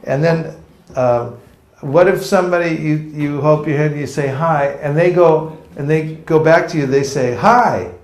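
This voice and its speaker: A man's voice: on the high side, moderate pace. A man from California teaching a book distribution seminar to a live audience, joking and acting out street encounters. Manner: playful, jokey, acts out street encounters. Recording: echoey room.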